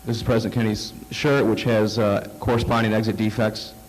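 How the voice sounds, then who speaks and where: Man, conference presenter with a cold, halting delivery full of fillers, lower voice. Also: level tone, low-quality recording. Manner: halting, many fillers and restarts. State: coming down with a cold. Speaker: conference speaker. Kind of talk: slide presentation talk. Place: conference hall.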